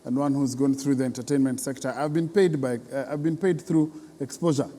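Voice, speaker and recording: A Kenyan man with a dark, relaxed voice at a medium pitch hosts an interview conversationally. He often drops in short affirming words. Long reverb from a hall surrounds him. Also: brisk. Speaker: interviewer, host. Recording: long reverberant hall, clear background noise.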